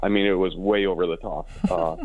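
A man speaks in a low, raspy voice, worn hoarse from celebrating. He talks haltingly as an interview guest, often repeating words while he finds the next thought. The sound is thin and muffled, like a telephone line. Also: steady tone. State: hoarse and short of sleep after celebrating. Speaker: interview guest, hockey team co-owner and businessman. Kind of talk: casual radio interview. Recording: dull, phone-like band-limited line.

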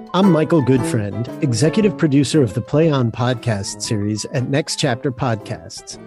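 A man's voice: mid-range voice, dark tone. A man hosting a podcast, introducing and interviewing guests in a conversational way.

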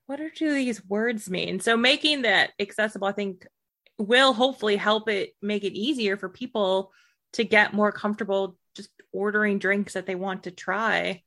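A woman's voice: medium pitch, moderate pace, fairly bright tone. A woman interviewing a guest in a casual chat over a video call, thoughtful and sharing her own experiences. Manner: thoughtful, conversational, relates own stories.

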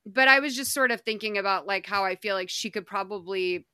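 A woman with a bright, crisp voice chats casually as a podcast co-host, rambling through her thoughts with lots of fillers. The sound is dry and close. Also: mid-range voice, brisk, dry recording. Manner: rambling, filler-heavy, animated. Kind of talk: casual chat recapping a documentary.

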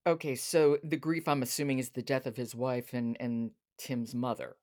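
A woman hosting a podcast in casual chat, briskly prompting her guest with questions. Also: very deep voice, flat delivery, a little mellow. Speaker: podcast host.

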